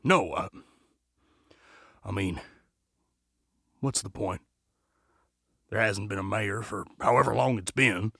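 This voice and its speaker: Man playing a bright-voiced, slow, weary gunslinger. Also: fairly low pitch, creaky, no room sound, clean studio sound, exaggerated voice. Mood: resigned. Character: weary, disillusioned male gunslinger ready to give up. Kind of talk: dramatic western audio drama acting.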